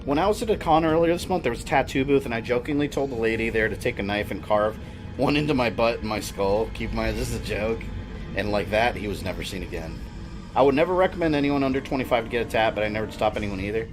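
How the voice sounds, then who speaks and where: A man's voice: lowish voice, fast talker, music in the background. A man in his thirties chatting casually and rambling while he streams a video game. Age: 30s. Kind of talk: casual chat while gaming.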